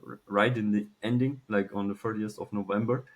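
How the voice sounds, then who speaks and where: A man, telling a personal story casually and haltingly, with some swearing. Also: lowish voice, fairly even intonation. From Switzerland. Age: early 20s. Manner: halting, informal, swears freely. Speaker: podcast guest, young entrepreneur.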